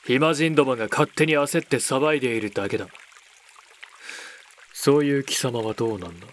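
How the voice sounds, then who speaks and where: A man playing an aloof, gruff male cat beastman in a voice drama, curt, haughty and dismissive. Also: mid-range voice, a little fast, lightly acted. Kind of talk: voice drama acting.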